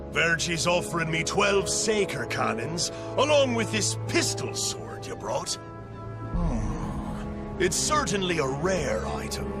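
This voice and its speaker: An actor playing a cruel, swaggering pirate captain in a video game, theatrical, taunting and haughty. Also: male voice, a little high, full character voice. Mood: appraising, suspicious. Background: loud music.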